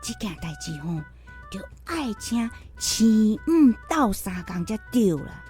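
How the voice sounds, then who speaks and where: Slow-talking, fretful Taiwanese granny. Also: female voice, mid-range voice, sing-song, warm tone, faint music behind. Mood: muttering, dismayed. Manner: drawn-out, sighing exclamations. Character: superstitious old grandmother fretting for a grandson. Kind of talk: radio drama acting.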